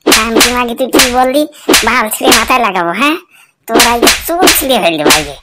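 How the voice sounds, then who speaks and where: A woman's voice: fairly high pitch, big pitch swings, fast, loud music behind the voice. A woman voicing an abusive, foul-mouthed bald drunkard of a husband in a cartoon comedy. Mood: angry bullying. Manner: threatening, swearing, demanding. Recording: very noisy, clicking background.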